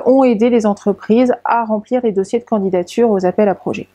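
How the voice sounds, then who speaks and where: Mellow French woman presenting, dry sound. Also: on the high side, dry recording. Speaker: presenter of an informational tutorial. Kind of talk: structured explanatory presentation.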